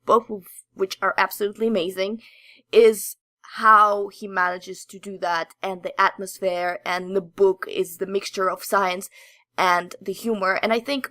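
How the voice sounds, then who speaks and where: A woman's voice: medium pitch, steady tone, normal speed, sharp tone, no echo. A woman co-hosting a podcast, explaining and recommending things in casual, enthusiastic, emphatic talk. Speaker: podcast co-host. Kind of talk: casual chat, enthusiastic review.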